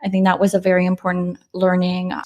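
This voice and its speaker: A woman's voice: fairly low pitch, completely flat delivery, fast, darkish tone. A woman from Poland, a startup founder, talking reflectively in a casual interview with frequent restarts and fillers.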